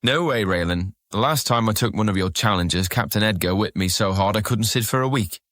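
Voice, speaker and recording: Man acting low-voiced, reluctant young troublemaker. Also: brisk, a bit bright, no room sound, clean studio sound, close to an everyday voice. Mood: reluctant, wary. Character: reluctant, grudge-bearing young male troublemaker. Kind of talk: dramatized fantasy audio serial acting.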